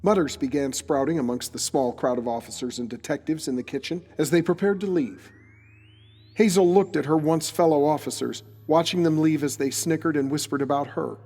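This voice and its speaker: A man narrates an audio drama from a script. His voice sits fairly high and carries a long reverb, with music playing behind it. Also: fairly quick, darkish tone.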